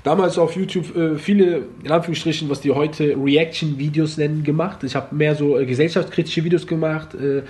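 A man's voice: on the high side, fairly even intonation, brisk, few pauses, warm tone. A man chatting as a podcast guest, telling his story in a loose, rambling way full of fillers. His voice sits a little above the usual male range. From Germany. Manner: laid-back, rambling, heavy use of fillers. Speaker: podcast guest, former online comedian. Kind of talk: casual interview storytelling.

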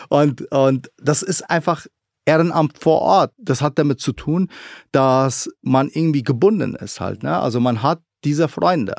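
Gravelly-voiced man telling his story. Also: medium pitch, no echo, clean studio sound. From Iraq. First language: Arabic. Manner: earnest, animated, frequent fillers. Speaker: guest. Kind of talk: conversational interview.

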